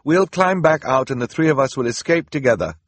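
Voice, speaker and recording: A man voicing a bookish middle brother who argues his point with urgent worry, in a husky voice. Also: mid-range voice, expressive, fairly quick, dry recording, pitched above the performer's own voice, lightly acted. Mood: worried. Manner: hesitant, then urgent and persuasive.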